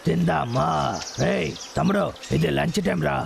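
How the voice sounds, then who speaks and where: A man voicing an excitable, bossy older-brother bear in a Telugu children's cartoon dub, with gravelly, exclamatory delivery. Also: a little high, normal speed, noisy background. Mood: eager. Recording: loud music under the voice.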